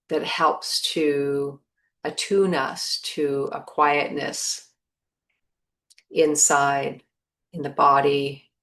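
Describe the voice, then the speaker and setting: A woman leading a guided meditation in a measured manner, pausing between short phrases. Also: low voice.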